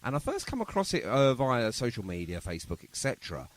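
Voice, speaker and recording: A man's voice: medium pitch, huge pitch swings, fairly quick. A man hosting a podcast in casual interview chat, with a rambling, hesitant way of phrasing his questions. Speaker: podcast host.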